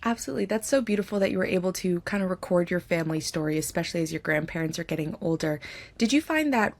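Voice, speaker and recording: A woman conducts a conversational interview in a slightly low voice. She is articulate and affirming, with a supportive way of asking questions. The sound is dry and close. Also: lively intonation, quick pace, dry recording. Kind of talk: conversational film festival interview.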